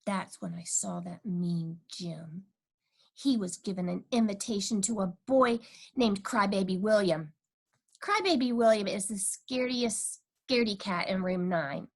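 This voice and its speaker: A woman's voice: medium pitch, unhurried, gravelly. A woman voicing a cheeky, stubborn kindergarten girl who tells her own story with childish, emphatic, dramatic delivery. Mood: indignant, confiding. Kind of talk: first-person children's storybook reading.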